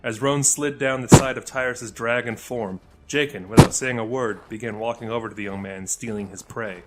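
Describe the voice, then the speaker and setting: A man's voice: mid-range voice, fairly even intonation, normal speed, music in the background, poor audio. A man reading scripted fantasy fiction as the narrator of an audio drama.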